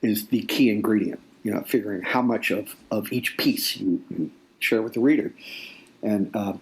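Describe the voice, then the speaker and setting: A man speaking as an author guest in a conversational interview, explaining deliberately and repeating phrases for weight. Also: on the low side, rough recording. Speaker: nonfiction author, podcast guest.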